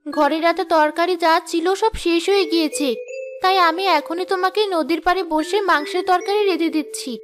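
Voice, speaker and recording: A woman voicing a hot-tempered, boastful young village wife in a cartoon, with a demanding, sharp-tongued manner. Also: extremely high pitch, steady tone, a little fast, voice pushed up. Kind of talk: cartoon character dialogue.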